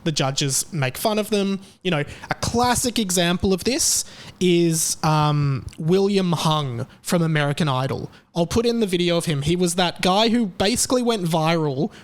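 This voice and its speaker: Chatty Australian man with a slightly high voice. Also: lively intonation, normal speed. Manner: chatty and sarcastic, laughing at his own jokes. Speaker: podcast host. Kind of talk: casual commentary monologue.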